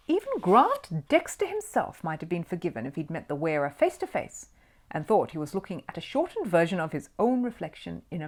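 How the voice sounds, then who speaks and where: A woman reading a children's storybook aloud in an expressive storytelling manner. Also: lowish voice. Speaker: audiobook reader. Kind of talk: scripted narration of a children's storybook.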